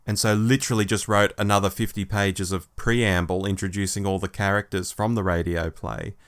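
A man's voice: lowish voice, level tone, a little fast, clear, resonant tone. A man co-hosting a podcast, reviewing a book in a casual, wry, conversational way. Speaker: podcast co-host.

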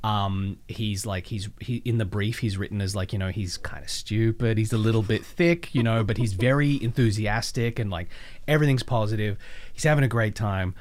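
Animated, rambling man chatting. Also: on the low side, moderate pace. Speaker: guest, voice actor. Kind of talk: casual interview chat, telling anecdotes.

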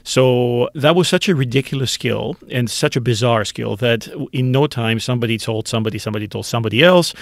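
A man's voice: medium pitch, a little fast, vocal fry, few pauses, no room sound. A man in his fifties from Greece, answering interview questions about his life in a candid, self-deprecating way. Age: 50s. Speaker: author guest.

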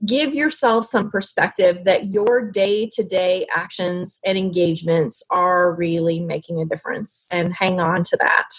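A woman's voice: lowish voice, steady tone, unhurried, hardly any pauses, breathy edge, poor audio. Earnest woman giving encouragement. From moved around as a military child. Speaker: interview guest, foster parent. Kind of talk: encouraging advice.

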